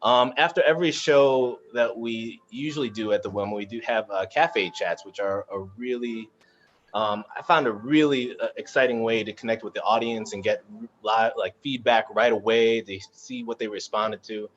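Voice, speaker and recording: A man moderating a casual live online panel as a cast member, halting and searching for words as he speaks. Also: on the low side, steady tone, a bit bright, slight background noise. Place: online video call. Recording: muffled, dull video-call audio.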